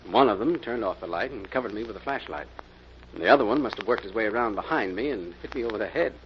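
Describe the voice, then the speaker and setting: An actor playing an earnest office worker with a plain storytelling manner in 1940s radio mystery style. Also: male voice, on the low side, expressive, fast. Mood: earnest, recounting. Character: Bill, earnest male office worker, assault victim. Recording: dull, noisy old-time radio recording.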